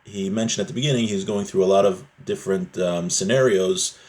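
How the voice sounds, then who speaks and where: A man's voice: sits low, fairly quick, a little mellow. A man giving a religious lesson as a teacher, reading Hebrew phrases and explaining them in a conversational, explanatory way. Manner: conversational, explanatory, often checking with "right?".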